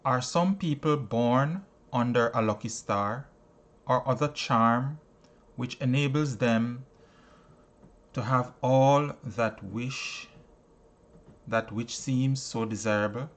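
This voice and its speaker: A man reading a book aloud as scripted narration, in deliberate phrases broken by pauses. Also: mid-range voice. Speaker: audiobook reader.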